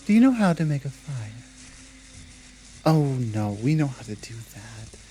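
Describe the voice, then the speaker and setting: A woman voicing a dominant, muscular female werewolf pack leader in a measured, deliberate ASMR roleplay. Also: a bass voice, sing-song, warm tone, a little hiss or hum, voice pushed down, exaggerated voice, low-quality recording. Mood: patient, assured.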